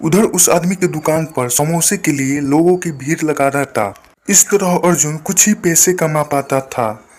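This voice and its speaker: Casting: male narrator for bedtime moral tales, fairly high-pitched, scripted storytelling delivery. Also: a little slow.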